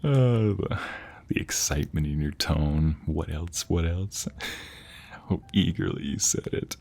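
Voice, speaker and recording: A man playing an affectionate, playful husband in an intimate ASMR roleplay, speaking tenderly and teasingly with breathy, whispery softness. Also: very deep voice, slight background noise, exaggerated voice. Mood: tender, adoring. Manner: teasing, tender, chuckling, with drawn-out soft phrases. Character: affectionate, playful young husband sweet-talking his wife.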